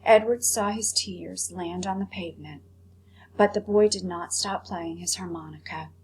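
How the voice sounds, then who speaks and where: A woman's voice: fairly low pitch, moderate pace, a little mellow, poor audio. A woman teacher reading a storybook aloud and commenting on it for pupils. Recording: clear background hum and noise.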